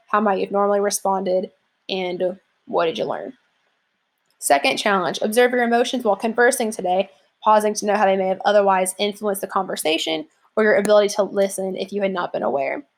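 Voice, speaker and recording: Casual young female teacher, relaxed filler-heavy delivery, mid-range voice, explaining an assignment. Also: mid-range voice, brisk. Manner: casual, chatty, many "like" and "um" fillers. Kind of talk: classroom lesson walkthrough.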